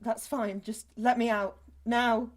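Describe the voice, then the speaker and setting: A woman's voice: fairly high pitch, level tone, slow, a little hiss or hum. An actress playing an uneasy, frightened young woman pleading to get away in an audio drama. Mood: desperate, demanding. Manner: tense, swearing when pushed. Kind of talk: naturalistic audio drama acting.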